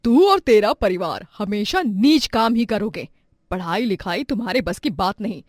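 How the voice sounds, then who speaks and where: A woman's voice: mid-range voice, animated, normal speed, no room sound, studio quality, natural voice. A woman playing a scornful, harsh middle-aged employer in a story drama. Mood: sneering. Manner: sneering, harsh and contemptuous.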